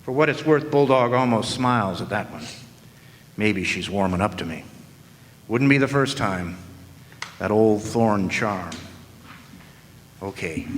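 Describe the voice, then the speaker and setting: A man's voice: medium pitch, natural voice. Man playing a wisecracking hard-boiled private eye, echoey hall. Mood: wry, cocky. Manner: deadpan, wry, slangy. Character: wisecracking hard-boiled male private detective narrating his case. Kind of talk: noir radio drama narration and dialogue. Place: large hall, live stage performance. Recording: echoey hall, live stage performance.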